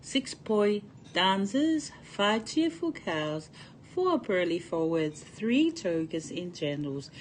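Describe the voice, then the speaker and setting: Slow-paced New woman reading aloud. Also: mid-range voice, sing-song, a little hiss or hum, poor audio. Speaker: storytime reader. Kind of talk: reading a picture book aloud to children.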